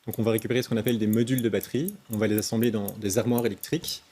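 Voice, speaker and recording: A man's voice: fairly low pitch, fairly even intonation, very fast, warm tone. A man, a start-up founder giving structured technical explanations.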